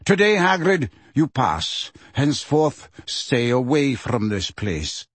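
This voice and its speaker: A proud centaur leader, calm and cold, played by a man in a measured, smooth, stern storybook voice. It is slow and deliberate, with a slightly husky tone. The recording is dry. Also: mid-range voice, no echo.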